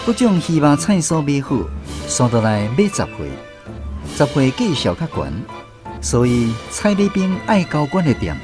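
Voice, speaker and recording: A man's voice: a little high, very animated, moderate pace. A man with a Taiwanese accent narrating a scripted radio drama as its storyteller. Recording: music under the voice. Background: music.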